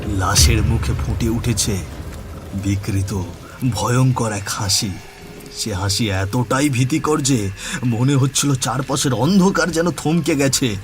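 A man's voice: medium pitch, slow pace, over music. A man narrating a scripted horror story in a dramatic storytelling style.

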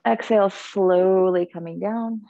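A woman teaching a guided yoga class in a soothing, gentle, unhurried manner, cueing breath and movement. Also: medium pitch, fairly even intonation, creaky, no echo, muffled recording.

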